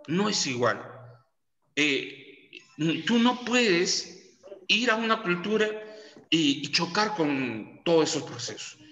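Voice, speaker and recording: A man's voice: a little high, sharp tone. Middle-aged Peruvian male teacher, chatty lecture, reverberant classroom sound. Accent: Peruvian Spanish. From Peru. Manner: chatty, lots of tag questions and fillers. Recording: echoey room with long reverb.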